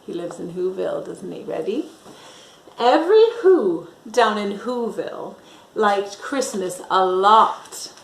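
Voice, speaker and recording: Female children's storyteller: unhurried, expressive read-aloud, mid-pitched voice, roomy echo. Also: vocal fry. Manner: expressive, asks young listeners questions, draws out words. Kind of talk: animated picture-book read-aloud.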